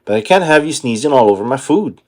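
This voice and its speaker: A man voicing a gruff, bossy male crab boss in a cartoon storybook, brusque and commanding. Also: mid-range voice, lively intonation, fast talker, darkish tone, lightly acted. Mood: stern.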